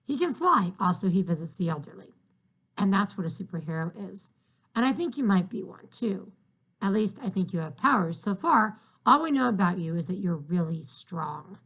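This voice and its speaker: A man voicing an earnest, excitable young girl who eagerly explains things in a storybook. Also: high voice, normal speed, full character voice.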